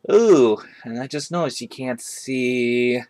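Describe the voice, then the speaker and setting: A man with a mid-pitched voice talks through a hobby gear review in a casual way. His delivery is halting and conversational, picked up by a webcam in a room at home. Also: a little mellow. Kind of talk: casual product review to camera. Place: indoors, small room at home. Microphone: webcam on a tripod, speaker off camera.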